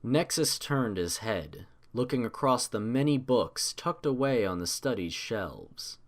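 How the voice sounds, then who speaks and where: A man's voice: mid-range voice, lively intonation, measured pace. A man narrating a scripted fantasy story in a dramatic audiobook style.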